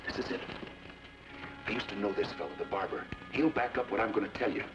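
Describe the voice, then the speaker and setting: An actor playing a tough outlaw who talks tersely and gives orders, in a 1940s radio drama. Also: male voice, lowish voice, fast, lightly acted. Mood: grim. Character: tough outlaw gunman, adult man, blunt. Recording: dull old radio, heard from a distance, music behind.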